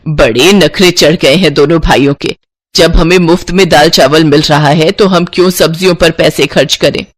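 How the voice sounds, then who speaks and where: A woman's voice: sits low, normal speed. A woman voicing a stingy, bossy middle-aged mother-in-law in a story, nagging and sarcastic. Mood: indignant, stubborn.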